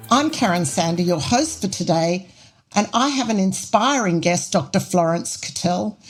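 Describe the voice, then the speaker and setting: Chatty woman podcast host. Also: medium pitch. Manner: chatty, rambling questions. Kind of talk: podcast interview.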